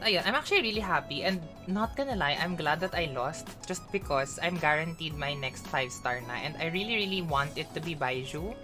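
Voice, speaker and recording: A chatty woman streaming a game, her voice low but swinging lively up and down as she talks playfully to her viewers. Game music plays clearly under her. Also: brisk, hardly any pauses. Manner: chatty, playful, code-switching, self-talking. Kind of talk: casual live gaming chatter.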